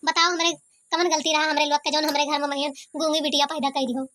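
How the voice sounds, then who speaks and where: Lady playing a fretful protective village mom in a cartoon, awadhi accent, high and fast, pleading n scolding. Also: flat delivery, bright, forceful tone. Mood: sorrowful lament. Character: protective, anguished village mother of two grown daughters. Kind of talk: cartoon comedy voice acting.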